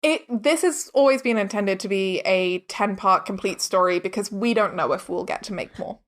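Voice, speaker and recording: A woman's voice: mid-range voice, moderate pace. A talkative, informal woman speaking emphatically as a guest in a casual podcast interview. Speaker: interviewed audio drama director and producer.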